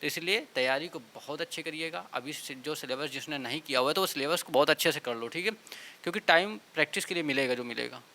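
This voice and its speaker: A man's voice: a little high, fast, pushed and bright. A man giving informal exam-prep advice to students, with frequent check-in tags in a colloquial manner. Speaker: exam coaching teacher and channel host. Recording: clear background hiss.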